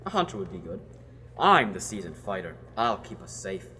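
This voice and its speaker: A man's voice: sits low, sing-song, normal speed, sharp tone, slight background noise, full character voice. A young man playing a bossy, arrogant knight. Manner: bossy and self-assured. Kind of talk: student radio drama acting. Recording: long reverb.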